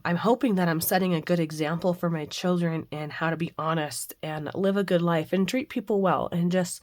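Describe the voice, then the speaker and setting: Hoarse middle-aged woman host, lower voice, rambling casual chat with fillers. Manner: chatty, rambling with frequent fillers. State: hoarse, recovering from flu with a cough. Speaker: craft channel host. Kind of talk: casual devotional and crafting chat. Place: home craft room.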